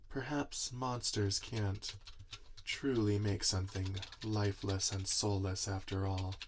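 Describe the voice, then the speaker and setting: Slow, low-voiced gentle monster inventor, acted by a man. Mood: thoughtful, tender. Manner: soothing, deliberate, reassuring. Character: gentle, earnest male monster inventor tending his robot creation. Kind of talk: soft-spoken ASMR roleplay. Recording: audible background noise, typing and clicks. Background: computer keyboard typing and clicks.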